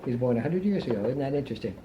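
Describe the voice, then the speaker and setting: A woman with a low voice conducts an oral history interview, prompting her subject with friendly, encouraging questions. The cassette recording carries a faint hiss. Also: animated, racing, lo-fi sound.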